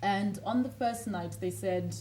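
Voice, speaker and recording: Mellow-voiced woman life coach, from Zimbabwe. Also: mid-range voice, a little slow. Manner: earnest, motivational, storytelling. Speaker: author and lifestyle coach, guest. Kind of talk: TV studio interview. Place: TV studio.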